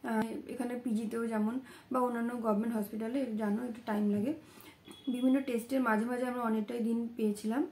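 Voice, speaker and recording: A woman in her thirties with a voice hoarse from a cold, talking casually to her vlog viewers in a small room at home. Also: a little high, level tone, fast, a little mellow, echoey room, a little hiss or hum. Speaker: vlogger.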